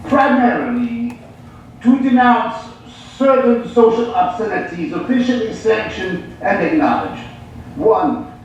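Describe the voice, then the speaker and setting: A man's voice: sits high, a little mellow, slight background noise. A man playing an eccentric, agitated polemicist poet, speaking in emphatic declamation in a theatrical mock interview. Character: eccentric male polemicist poet denouncing society, agitated and bizarre. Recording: dull, distant, echoey stage recording.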